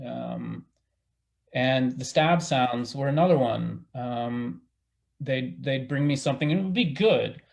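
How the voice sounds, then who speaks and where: A man speaking as a theater director in a casual panel discussion, haltingly, thinking aloud as he goes. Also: mid-range voice, creaky, a little mellow.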